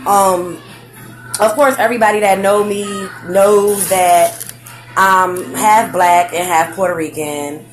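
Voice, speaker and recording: A young woman, chatting excitedly on a live stream, full of slang and "like"s and "um"s. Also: medium pitch, takes it slowly, soft background music. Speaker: vlogger. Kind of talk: casual facebook live chat.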